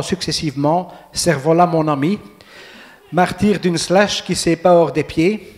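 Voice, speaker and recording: A man's voice: fairly high pitch, darkish tone, natural voice. A man voicing a pompous male literary presenter who announces books in a grandiose tone.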